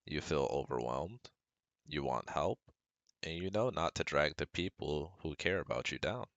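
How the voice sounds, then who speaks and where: A man's voice: extremely low pitch, lively intonation, moderate pace, fairly bright tone, dry recording, lo-fi sound. A man playing a caring, protective best friend who gives firm, earnest advice straight to the listener in an ASMR roleplay. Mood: tender, reassuring. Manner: soothing, earnest, instructive, speaking directly to listener.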